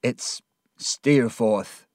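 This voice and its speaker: A man voicing a shaken, grieving young fisherman who speaks slowly and haltingly in a told story. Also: medium pitch, lively intonation, no room sound, studio quality. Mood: quiet, heavy. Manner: slow, heavy, halting.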